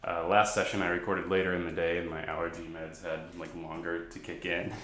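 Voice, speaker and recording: A man, a singer-songwriter with a stuffy nose, chatting softly and self-deprecatingly between songs in a home guest room. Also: sits low, level tone, a little fast, slight background noise. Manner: rambling, self-deprecating, soft-spoken. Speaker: singer-songwriter performing live. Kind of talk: casual between-song chat. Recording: roomy echo.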